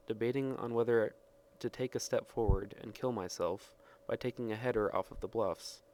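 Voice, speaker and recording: A man's voice: lowish voice, mellow, slight background noise, poor audio. A man reading a written personal story aloud. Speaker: reader of personal testimony.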